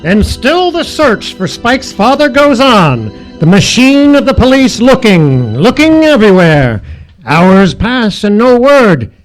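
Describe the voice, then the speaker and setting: A man's voice: extremely high pitch, sing-song, slow, faint music behind, heavily acted, rough recording. A man playing a dramatic storyteller in a live radio drama, building suspense with emphatic, scripted narration. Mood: suspenseful. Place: live stage performance in a hall.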